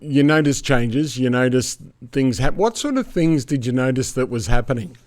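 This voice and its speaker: A man hosting a podcast interview, asking questions at an unhurried, measured pace. His voice sits at an ordinary middle pitch for a man. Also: animated, darkish tone. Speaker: podcast host. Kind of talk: interviewing a guest.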